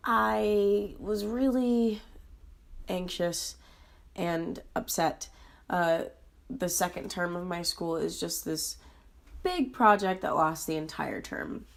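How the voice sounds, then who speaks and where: Woman, twenties, hoarse from illness, low-ish pitch, earnest, confessional vlog. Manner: earnest, confessional, with frequent ums and pauses. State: hoarse and sick. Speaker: vlogger. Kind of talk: candid personal vlog.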